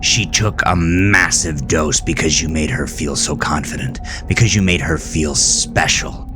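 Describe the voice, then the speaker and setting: A man performs a wronged husband in a horror audio drama, consumed by rage and grief. He rants in anguished accusation, bitter and vengeful, over clearly audible music. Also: very deep voice, unhurried. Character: enraged blood-soaked grieving husband, vengeful and accusing.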